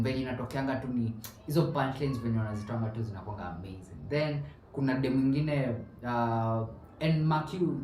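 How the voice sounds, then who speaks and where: A man's voice: mid-range voice, darkish tone, recorded from a distance. Young Kenyan male podcast host, chatty with restarts, mid-range voice, echoey room with faint background noise. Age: young adult. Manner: mixes English and Swahili slang, chatty with many restarts. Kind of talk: casual chat. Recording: roomy, off-mic with faint ambience.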